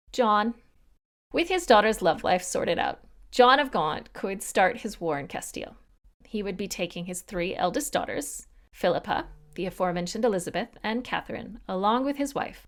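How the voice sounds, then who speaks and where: A woman host narrates history from a script at a middle pitch, delivering it evenly. She slips in wry, dry asides to the listener as she goes. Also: fairly bright tone. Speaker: podcast host.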